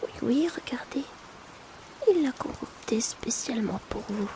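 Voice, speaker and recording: Woman acting a soft, crackly-voiced potion courier. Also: fairly high pitch, expressive, unhurried, whispered. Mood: friendly, playful. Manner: hesitant, polite, confiding. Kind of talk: whispered ASMR roleplay.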